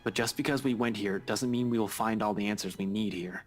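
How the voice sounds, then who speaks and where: A man's voice: on the low side, fairly quick, soft background music, close to an everyday voice. An actor playing a serious, sceptical man with a weary, doubtful manner in a game. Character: serious, sceptical man challenging his friends.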